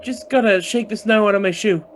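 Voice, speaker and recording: A man's voice: high-pitched, fairly quick, noisy background, lightly acted. An actor in a radio play voicing a dazed, yearning son entranced by his mother's apparition. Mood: amazed, eager. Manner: hesitant, dreamy, questioning. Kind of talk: naturalistic radio play acting.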